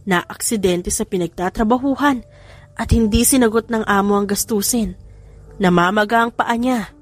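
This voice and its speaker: A woman's voice: mid-range voice, normal speed, faint music behind, lightly acted. Woman playing a worried, apologetic older mother.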